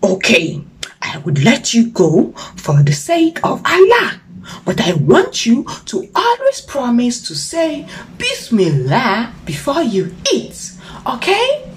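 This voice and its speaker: A woman voicing a grumpy, bossy crocodile who guards a bridge and talks slowly and gruffly. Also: fairly high pitch, wildly swooping pitch, slight background noise, heavily acted. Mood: stern.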